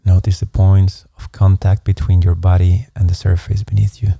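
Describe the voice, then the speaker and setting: A man guides a meditation in a low, very dark and mellow voice. His delivery is calm and measured, staying even in pitch from phrase to phrase, with soft instructions given one step at a time. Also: vocal fry, husky, no room sound, clean studio sound.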